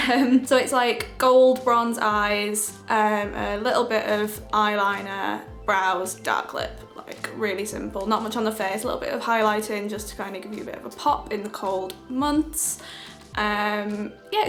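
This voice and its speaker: A young woman with a cold, chatting through a makeup tutorial with lots of giggles and fillers. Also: on the high side. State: has a cold. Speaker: beauty vlogger. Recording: echoey room with light music.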